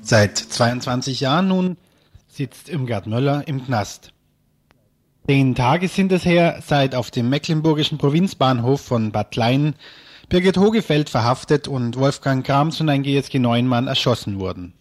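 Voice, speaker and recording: A man's voice: medium pitch, expressive, a little slow. A man presenting radio news, reading a scripted political commentary.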